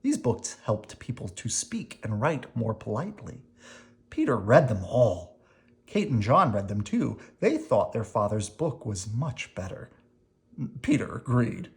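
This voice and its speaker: A person reading a children's picture book aloud, expressively and deliberately, with dramatic emphasis and short explanations for young listeners. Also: medium pitch, sing-song. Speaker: storybook reader explaining words to children.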